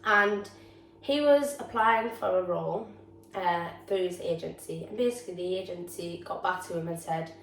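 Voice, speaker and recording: A woman's voice: lowish voice, moderate pace, few pauses, a bit bright. A young woman with a Geordie accent, chatting frankly and casually as a podcast co-host, a drama student. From North East England. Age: young adult. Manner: chatty, frank, swears freely. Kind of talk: casual chat. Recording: echoey room, mic far off, faint noise.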